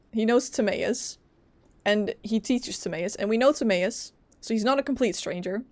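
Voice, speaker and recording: A woman's voice: a little high, dry recording. Chatty woman streamer, close dry mic. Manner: playful, chatty, candid. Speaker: game streamer. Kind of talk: casual gameplay reaction and reading along.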